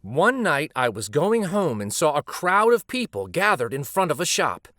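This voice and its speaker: A man voicing a wistful, gentle old street storyteller from a children's picture book. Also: on the high side, sing-song, moderate pace, no room sound, studio quality. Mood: nostalgic. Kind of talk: picture book read aloud.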